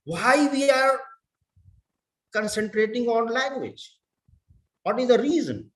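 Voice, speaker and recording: High-voiced Indian man lecturing. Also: expressive, a bit bright, roomy sound. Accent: Indian English. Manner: deliberate, repeats words, mixes in Hindi. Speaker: professor. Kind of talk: lecture to fellow educators.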